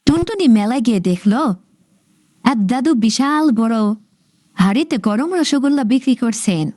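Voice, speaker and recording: A woman narrating a scripted children's story in an expressive storybook manner. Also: a little high, measured pace.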